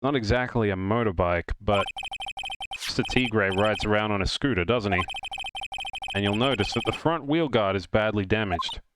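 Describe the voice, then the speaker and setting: A man's voice: fairly low pitch, moderate pace, background noise. A man live-dubbing a lawyer's lines in a video game, with joking asides, as a streamer. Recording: loud game music behind.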